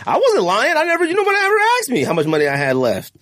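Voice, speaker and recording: A man's voice: very high-pitched, huge pitch swings, fast, fairly bright tone. A man hosting a casual podcast chat, teasing his co-hosts and laughing often. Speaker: podcast host.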